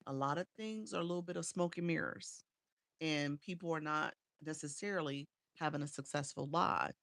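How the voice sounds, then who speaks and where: A woman's voice: low-pitched, moderate pace, creaky, a bit bright, no echo, clean studio sound. A woman from Chicago coaching live streamers in a casual livestream chat. She reads viewer comments aloud and answers them, with frequent "um"s, on a small desk microphone at home.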